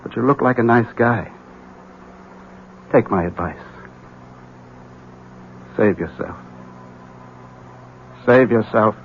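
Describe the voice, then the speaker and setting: An actor playing a desperate, guilt-ridden man in a 1970s radio drama, speaking in intense, halting bursts. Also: mid-range voice, noisy background. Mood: grim, urgent. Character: desperate, guilt-ridden man warning a rival about a witch. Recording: dull vintage radio recording.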